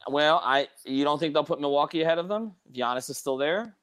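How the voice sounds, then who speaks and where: A man's voice: a little high, lively intonation, fairly quick, a bit bright, smooth. A man hosting a casual sports panel discussion, repeating and restarting phrases for emphasis. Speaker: host.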